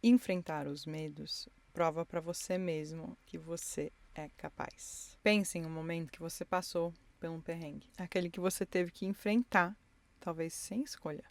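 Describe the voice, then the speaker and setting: A woman's voice: sits low, gravelly. A woman hosting a solo podcast from inside her car, reflective and encouraging as she talks straight to her listeners. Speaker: podcast host. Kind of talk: intimate solo podcast monologue.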